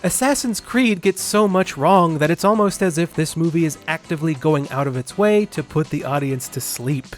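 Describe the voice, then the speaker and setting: Guy w a kinda high voice doing a sarcastic scripted movie review, informal, bit of music behind him. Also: lively intonation, normal speed, hardly any pauses. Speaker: video essayist and film reviewer. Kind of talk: scripted video essay narration with comedic skits.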